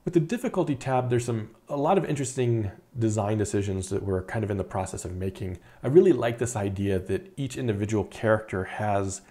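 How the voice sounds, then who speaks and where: A man in his thirties, an indie game developer giving a casual, relaxed update on his project while thinking aloud with fillers, recorded in a studio room on a lapel mic. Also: fairly low pitch, brisk, hardly any pauses. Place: indoor studio room with monitors.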